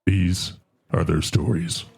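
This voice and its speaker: A man's voice: sits low, fairly even intonation, slow pace, gravelly, a little mellow, breathy edge, full character voice. A man voicing a stern announcer of a crime drama intro, speaking in a solemn, dramatic way. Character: stern deep-voiced TV crime show announcer. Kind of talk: dramatic scripted intro narration.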